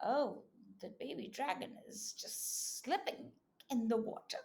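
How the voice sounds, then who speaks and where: A woman reading a storybook aloud to young children as a teacher, animated, with exclamations, coaxing questions and repeated words. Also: on the high side, measured pace, creaky.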